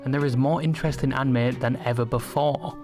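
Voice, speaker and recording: A man's voice: mid-range voice, fairly even intonation, brisk, music in the background. A man delivering scripted opinion narration as a video essayist, with a sardonic, exaggerating way of putting things.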